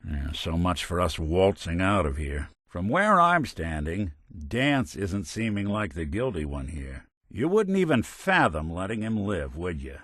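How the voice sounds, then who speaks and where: A man's voice: on the low side, a bit bright, dry recording, exaggerated voice. A man playing a world-weary, middle-aged android detective in a video game, with an American accent and a wry, hard-boiled way of talking. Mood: wry, musing, then disapproving. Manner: wry, dry, old-fashioned hard-boiled slang. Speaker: companion character in a video game.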